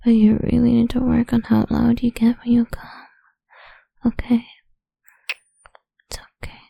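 A woman's voice: a little high, completely flat delivery, normal speed, gravelly, mellow, husky, dry recording. A woman performing an intimate audio roleplay, mostly whispering close to the microphone in a dominant, teasing manner.

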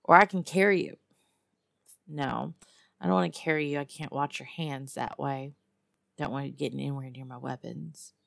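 A woman performs a female lizard-person hunter from Texas in an intimate audio roleplay. Her Southern drawl is low and heavily fried with creak, recorded close and dry. She teases and needles in a sardonic, guarded way, pausing to hum and muse. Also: fairly even intonation, no room sound, heavily acted. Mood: guarded, stern. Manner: drawling, sardonic, teasing, with musing pauses and hums. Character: tough, teasing female lizard-person huntress, guarded but lonely. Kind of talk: one-sided immersive audio roleplay to a captive listener.

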